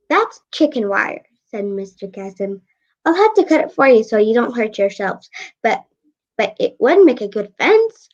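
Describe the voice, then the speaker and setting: A young girl of eight reads a storybook aloud in a high voice. She trips over words and repeats phrases as she goes. The recording is dull and lo-fi. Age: child, 8 years old. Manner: stumbles and repeats phrases while reading. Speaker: young guest co-reader. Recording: dull lo-fi audio.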